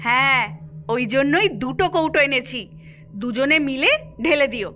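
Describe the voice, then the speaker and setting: A woman's voice: high-pitched, moderate pace, close to an everyday voice. A woman voicing a scheming cartoon character who coaxes and instructs in a sly manner. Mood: coaxing, instructing. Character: scheming woman coaxing gullible youngsters into mischief. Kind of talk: cartoon voice acting. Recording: music behind the voice.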